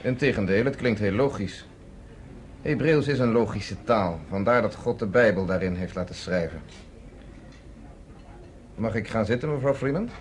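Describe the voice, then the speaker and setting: An actor playing a confident, courteous underground leader in a radio play, speaking in a measured, formal way. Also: male voice, on the low side, natural voice. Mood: courteous, assured. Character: confident, persuasive underground leader, former army captain, courteous.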